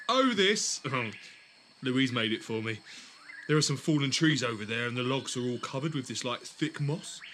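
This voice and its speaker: A man's voice: mid-range voice, expressive, normal speed, a bit bright, slight background noise, natural voice. A man in an audio drama playing an amiable settler, conversational and slightly tentative. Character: easygoing male settler, expectant parent, amiable companion.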